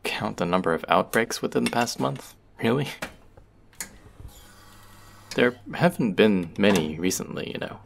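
A man's voice: on the low side, expressive, unhurried, vocal fry, pauses often, slight background noise. A man voicing a friendly, teasing young male barista in an audio roleplay, chatty and playful toward a regular customer.

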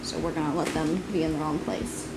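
A woman's voice: sits low, little pitch movement, a little fast. A young adult woman talking casually to camera as a farm vlogger, explaining things in a plain, informal way. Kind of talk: casual vlog talk. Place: large garage, kitchen, outdoors in a yard. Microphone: camera mic, arm's length or farther. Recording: echoey garage and rooms, background noise.

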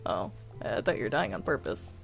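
A woman's voice: very deep voice, very animated, a little fast, creaky, faint music behind. A woman chatting casually while playing a game, hesitant and stammering, often sounding apologetic. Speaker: gamer playing a multiplayer game.

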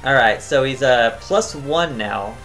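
A tired man giving casual, chatty commentary as he plays a video game, thinking out loud and humming to himself. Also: mid-range voice, lively intonation, measured pace, soft background music. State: tired, voice near cracking. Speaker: gaming channel host.

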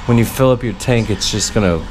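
A man's voice: fairly low pitch, a little fast. Young man vlogging over background noise. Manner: laid-back, slangy, swearing. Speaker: vlogger for a vape brand team. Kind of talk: casual vlog chat. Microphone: action camera at arm's length. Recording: noisy action-camera audio with ambient hum.

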